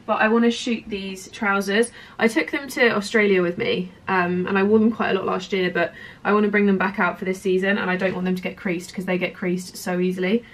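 A woman's voice: medium pitch, fairly even intonation, fairly quick, distant mic. A young woman rambling casually as a lifestyle vlogger, filming herself at home and in a car. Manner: chatty, rambling, self-interrupting. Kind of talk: casual chatty vlog. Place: home room, then in a car.

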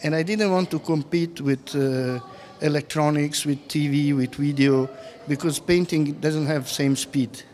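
A man in his fifties from former Yugoslavia, speaking as an artist in an on-camera interview in a gallery room, halting and full of fillers, into a handheld mic. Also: on the high side, fairly even intonation, darkish tone. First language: Serbian. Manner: halting, many fillers and restarts. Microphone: handheld mic held close.

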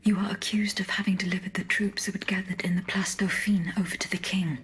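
A woman playing a composed, accusing agent in a video game, speaking in a measured, formal and blunt way. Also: medium pitch, level tone, a bit bright, slightly rough. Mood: grave, accusing. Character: composed, accusing female agent confronting a general.

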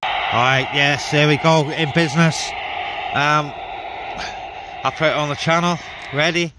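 A man's voice: a little high, steady tone, a little slow. A man chatting casually and informally to viewers as he handles gear at an outdoor camp, muttering asides. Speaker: outdoor CB radio vlogger. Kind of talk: casual vlog chat to viewers. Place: outdoors in a wet forest camp. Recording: outdoor camera with clear background noise.

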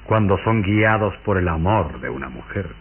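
An actor playing a sinister vampire count in a radio drama, courtly, measured and mocking. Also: male voice, low-pitched, lively intonation, a little hiss or hum. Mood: mocking, insinuating. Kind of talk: Mexican radio drama acting. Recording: muffled old radio recording with music.

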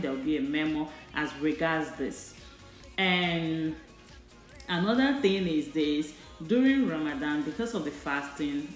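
A low-voiced woman from Nigeria talks through earnest, repeated advice in a voice-over. Her voice rings in a roomy space, with soft music underneath. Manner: earnest, repetitive pleading. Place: voice-over over store footage. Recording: roomy sound, faint music.

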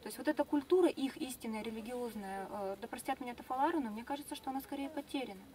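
A woman's voice: on the high side, warm tone, a little hiss or hum. A young woman guide explaining things fluently to a tour group inside a large tent, recorded from a distant camera. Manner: fast, fluent, informative, with fillers.

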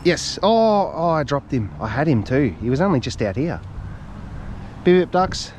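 A man's voice: fairly high pitch, sing-song, a little mellow, slight background noise. Australian man muttering commentary while fishing. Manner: chatty, self-talking, quick to laugh. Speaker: fishing vlogger. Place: outdoors on a reservoir bank. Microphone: head- or chest-mounted action camera.

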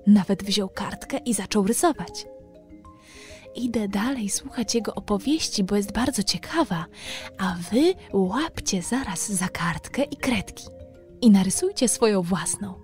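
A woman's voice: mid-range voice, expressive, unhurried, darkish tone, faint music behind. A woman hosting a children's programme, telling stories playfully and speaking straight to her young listeners. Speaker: host and storyteller of a children's programme. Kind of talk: storytelling for children.

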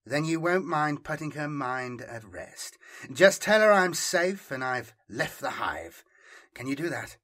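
A man's voice: on the high side, very animated, measured pace, bright, forceful tone, voice pushed up, heavily acted. A man voicing a smug, self-assured male fugitive in an audiobook, superior and teasing in manner. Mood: confident, reassuring. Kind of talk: audiobook character dialogue.

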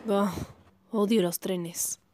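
Woman doing a grumpy tired teen girl, slow talker, game fandub, bit of background noise. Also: mid-range voice, steady tone, darkish tone, natural voice. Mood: irritated, bored. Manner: grumbling, weary. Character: sullen, irritable lifeless-eyed teenage girl. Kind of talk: fan dub of a horror game.

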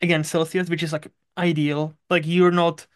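High-pitched man, dry sound. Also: level tone, normal speed, clear, resonant tone, no room sound. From Czech Republic. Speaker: podcast host. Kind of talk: casual chat.

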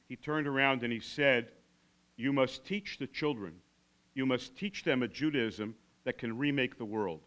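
A man's voice: medium pitch, a bit bright. Elderly American man telling a story. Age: about 80. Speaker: keynote speaker. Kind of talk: storytelling keynote speech. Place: large classroom before a seated audience. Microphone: podium microphone.

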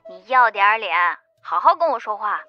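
A woman's voice: mid-range voice, expressive, normal speed, lo-fi sound, soft background music, close to an everyday voice. A woman playing a feisty, brash young character, snappy and easily annoyed, in an audiobook drama. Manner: snappy, exasperated.

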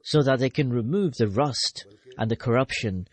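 A man giving the English voice-over of a sermon, reading it formally in measured, phrase-by-phrase delivery. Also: mid-range voice, husky. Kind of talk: formal read translation of a sermon.

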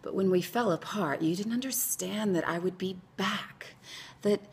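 A woman performing a dramatic monologue as a wistful, wounded former lover, hushed and breathy, with long pauses. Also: medium pitch. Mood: bitter, intense. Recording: faint background noise.

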